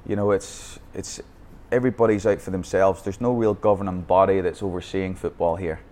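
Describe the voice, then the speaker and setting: Deep-voiced Northern Irish football coach. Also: male voice, steady tone, a little fast, mellow. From Northern Ireland. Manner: candid storytelling with many restarts. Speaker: youth football academy founder. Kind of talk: podcast interview. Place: Bangkok.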